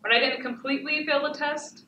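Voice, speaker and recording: A haunted battered wife acted by a teenage girl delivers a deliberate stage monologue in a bright, fairly high voice. She sounds resigned and blames herself, heard from far off in an echoing theatre. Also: steady tone. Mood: anguished, bitter. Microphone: far camera. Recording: echoey noisy theatre, distant microphone.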